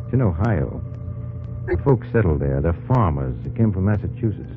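A kindly frontier scout in an old radio play. His voice is deep and very animated, with plainspoken, reassuring country manners. The vintage recording is narrow and muffled. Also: normal speed, music in the background. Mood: earnest. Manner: folksy, reassuring. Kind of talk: old-time radio drama acting.

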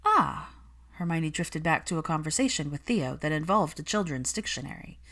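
A woman reading fan fiction as an audiobook narrator, in expressive, measured scripted storytelling. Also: low-pitched.

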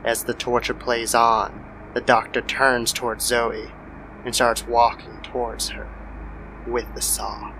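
A man narrating a scripted horror story in a measured, somber manner. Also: medium pitch, little pitch movement, bright, forceful tone, slight background noise, low-quality recording. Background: music.

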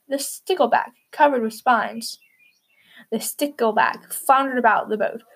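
A woman hosting and narrating a storybook for children. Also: on the high side.

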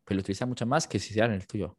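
A young man from Naples, a native Italian speaker and language teacher, talking casually and thinking aloud with many pauses and fillers. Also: fairly low pitch, fairly even intonation, darkish tone, no echo, studio quality. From Italy, Naples. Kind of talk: casual conversation.

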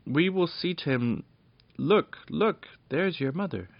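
A man piping up as a flock of tiny storybook fairies, squeaky and fussing over each other, their high voices noticeably louder than the reading around them, on a dull, dry recording with little room sound. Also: lively intonation, slow, vocal fry, dry recording, full character voice. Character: high twittering crowd of fairies.

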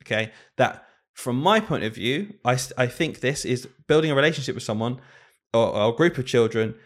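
A man's voice: mid-range voice, expressive, fairly quick. A man, a primary school teacher co-hosting a casual podcast, talking earnestly and thinking aloud.

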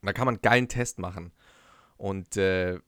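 A young man co-hosting a casual podcast chat in German, halting and restarting often and laughing easily. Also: sits low, a bit bright, smooth, no room sound, studio quality. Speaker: podcast co-host.

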